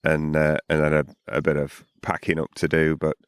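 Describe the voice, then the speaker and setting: A man co-hosting a podcast, chatting casually at a campsite in a morning voice hoarse from drinking, relaxed and rambling, repeating words as he goes. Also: rumbling low, moderate pace, no echo, studio quality. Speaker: podcast co-host. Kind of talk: casual chat outdoors at a campsite.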